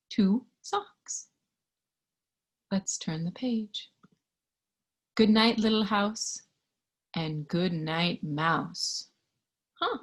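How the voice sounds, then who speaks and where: Slow, husky woman reading to little children. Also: mid-range voice. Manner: gentle, singsong, sometimes hushed to a whisper; childlike wonder, simple explanations for small children. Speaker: storyteller reading a picture book to young children. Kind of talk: interactive children's read-aloud with questions to the listener.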